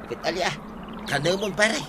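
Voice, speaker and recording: A man's voice: high-pitched, brisk, a bit bright, slight background noise. A man with an Ilocano accent playing a bossy, scheming village buddy in a radio comedy drama, coaxing in a conversational way.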